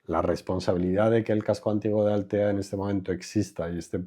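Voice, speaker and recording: Low, husky-voiced older man. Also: steady tone, warm tone. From Madrid, Spain. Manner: reflective, unhurried, trails off with fillers. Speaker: architect, interview guest. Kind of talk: relaxed conversational interview.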